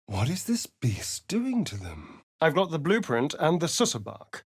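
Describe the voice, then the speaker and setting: A man's voice: a little high, huge pitch swings, a little slow, slightly rough, no echo, studio quality, heavily acted. An actor voicing a sly, vain, sardonic male vampire spawn in a video game, with an arch, theatrical drawl. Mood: wary, pensive.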